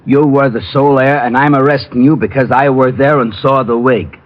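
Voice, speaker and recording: An actor playing a dim, blunt police sergeant who talks in slangy phrases, in a 1950s radio drama. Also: male voice, mid-range voice, fairly even intonation, a little fast, natural voice. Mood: gruff. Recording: dull old radio recording.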